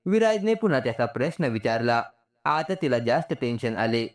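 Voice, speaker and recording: A man reading a scripted story aloud as a storyteller. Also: mid-range voice.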